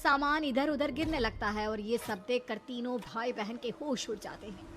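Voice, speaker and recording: A woman's voice: on the high side, normal speed, fairly bright tone. A woman narrating a scripted horror story for a cartoon. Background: music.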